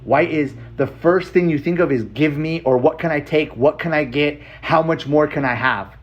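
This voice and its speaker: A man's voice: fairly high pitch, normal speed, muffled recording. An American man telling his own life story casually, with a blunt, emphatic manner and short repeated phrases.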